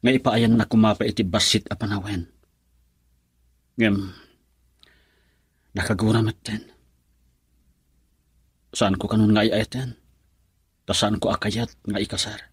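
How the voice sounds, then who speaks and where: A young man abandoned by his sweetheart, in a radio drama. His voice is low and very husky, recorded dry and close. He speaks earnestly, pleading his case. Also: little pitch movement, a little slow, pauses often, dry recording. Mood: pleading, defensive. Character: lovelorn young man whose sweetheart left him. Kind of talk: Ilocano radio drama acting.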